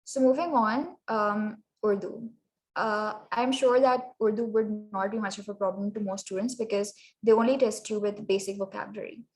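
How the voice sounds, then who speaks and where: A young Pakistani woman presents a workshop in a relaxed, chatty way, her English carrying a clear Pakistani accent. Her voice sits at a middling pitch, and she leans on lots of ums and uhs, now and then dropping into Urdu. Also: normal speed, rough recording. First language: Sindhi. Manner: chatty, many fillers, slips into Urdu phrases. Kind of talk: casual presentation.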